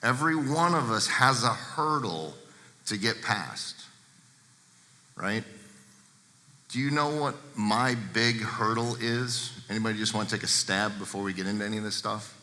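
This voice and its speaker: Deep-voiced man speaking in an echoey hall. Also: normal speed, pushed and bright. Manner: candid, plain-spoken, addresses the audience directly. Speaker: guest speaker. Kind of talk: seminar talk to a live room. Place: large seminar room with a projector.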